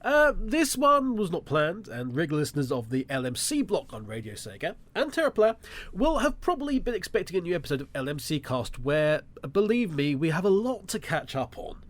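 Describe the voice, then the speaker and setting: A man's voice: on the high side, sing-song, brisk. A man hosting a solo podcast, sounding nasal and congested from a cold, talking with sarcastic, wry asides. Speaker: podcast host.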